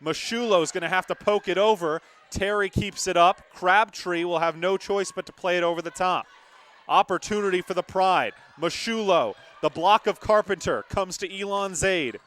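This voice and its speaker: A man's voice: high-pitched, moderate pace, a bit bright. A young man calling live volleyball play-by-play from a gym, with emphatic, dramatic calls. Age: young adult. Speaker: play-by-play sportscaster. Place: courtside in a gymnasium.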